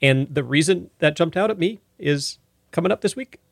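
Male music-show host, fifties, casual announcing and chat, higher-than-average pitch, dry close recording. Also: lively intonation, moderate pace, gravelly, dry recording.

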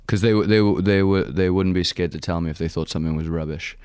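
A young man with a Welsh accent chats as a guest musician. His voice sits very low and comes through smooth and clear. He talks softly and haltingly, recorded dry with little room sound. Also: fairly even intonation, dry recording. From Wales. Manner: soft-spoken, halting, laughs often. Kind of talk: casual interview chat.

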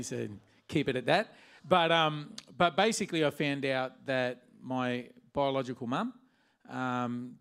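A man's voice: medium pitch, creaky, a bit bright. A man who is a father, speaking as a guest at a church service, chatty and jokey with lots of ums. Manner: chatty and jokey, full of fillers. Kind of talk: personal testimony.

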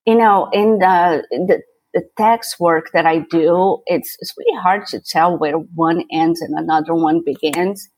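A woman's voice: lowish voice, unhurried, a little mellow, no room sound, rough recording. A middle-aged woman from Brazil with a Portuguese accent, speaking as an interview guest in an easygoing way, repeating words as she thinks. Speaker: guest, tax attorney and CPA. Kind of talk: casual interview talk.

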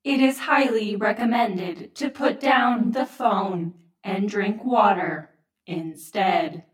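A woman playing a sarcastic mock voicemail operator in an audio drama, deadpan and measured. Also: mid-range voice, roomy sound, far from the mic, close to an everyday voice, poor audio. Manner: deadpan, measured announcement delivery.

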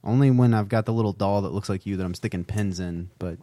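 A man chatting casually as a podcast co-host, with a dry, deadpan and learned wit. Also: fairly low pitch, fast. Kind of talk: casual chat.

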